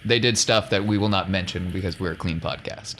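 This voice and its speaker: Male game master, lowish voice, joking casual narration, faint noise behind him. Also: fairly quick, a bit bright.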